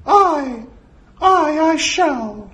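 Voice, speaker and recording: High-pitched, excitable, gullible drone. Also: male voice, extremely slow, heavily acted. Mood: frightened, submissive. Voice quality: falsetto, squeaky. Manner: archaic speech, excitable, gullible, obedient. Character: old slow-witted fanatical drone manager turned squeaky falsetto Mickey god.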